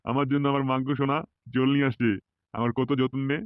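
Man playing a swaggering, fast-talking cartoon politician. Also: mid-range voice, flat delivery, smooth, no echo, phone-quality sound, natural voice. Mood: casual, doting. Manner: swaggering, crude, blustering. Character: boastful, sleazy, short-tempered male politician. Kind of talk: Bengali comedy cartoon dubbing.

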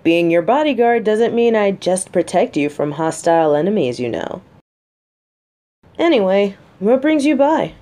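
A woman's voice: mid-range voice, very animated, a little slow, dark tone. A woman playing a guarded, sarcastic but secretly vulnerable lieutenant and bodyguard in an intimate audio roleplay. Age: adult. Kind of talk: scripted roleplay audio drama, addressed to listener.

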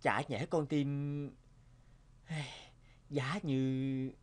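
A man voicing a weary, sentimental young husband in a cartoon, speaking in an expressive, dramatic way. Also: medium pitch, drawn-out words. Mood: wistful. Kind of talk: cartoon dubbing.

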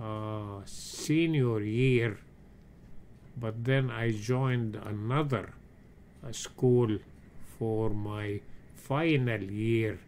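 A man in his seventies from Egypt, telling his life story slowly and haltingly in an oral history interview at his home. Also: fairly low pitch, a little mellow, slight background noise. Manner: slow, halting storytelling with many pauses and fillers. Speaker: interviewee, retired engineering professor.

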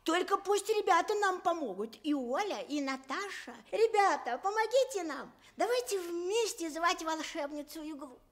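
A woman playing an eager little male plush bear in a children's radio play, with a childlike, lively manner. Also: very high voice, normal speed, few pauses. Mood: eager, helpful. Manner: childlike, lively, sing-song.

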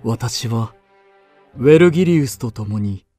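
An actor playing an earnest poet-pilgrim who recounts his journey deliberately as first-person radio drama narration. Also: mid-range voice, expressive, warm tone, soft background music. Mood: earnest narrating.

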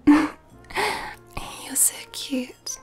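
A woman playing a nurturing, gently dominant, affectionate girlfriend in an ASMR roleplay, in a soft breathy whisper. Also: extremely slow, soft background music. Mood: teasing, affectionate.

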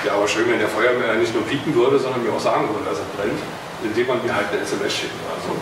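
A man's voice: on the low side, steady tone, quick pace. Man, Berlin accent, casual presentation, recorded from across the room, audible background noise. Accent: Berlin German. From Berlin, Germany. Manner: loose and chatty, with filler words and small jokes; standing and moving about as he talks. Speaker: presenter at a hobby talk. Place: meeting room with projector screen, indoors. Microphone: far camera across the room. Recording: very echoey room, far from the mic, noisy.

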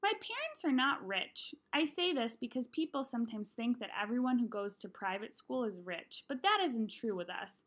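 A woman's voice: a little high, normal speed, hardly any pauses. A woman in her thirties reading a children's novel aloud expressively, at home into a computer's microphone. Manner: expressive reading, animated in dialogue. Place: small room at home, indoors.

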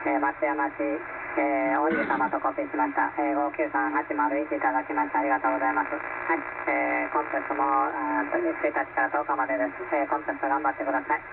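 A man's voice: mid-range voice, flat delivery, quick pace, creaky. A man working a ham radio contest, speaking in polite, formal procedure with phonetic call signs over a shortwave transmission. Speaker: amateur radio operator, contest participant. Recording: noisy, narrow shortwave radio reception, distant.

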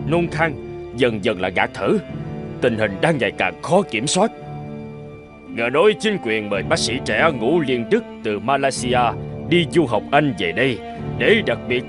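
A formal, emphatic man from a cartoon medical drama, played by an actor. His mid-pitched voice swings widely in dramatic delivery. Music plays clearly behind him. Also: natural voice. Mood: grave.

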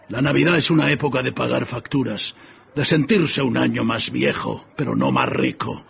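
Gravelly, grumpy old miser. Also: male voice, mid-range voice. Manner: curt, scornful, grumbling. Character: grumpy, miserly old businessman, scornful and bitter. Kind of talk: radio drama acting. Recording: dull lo-fi radio recording, faint noise.

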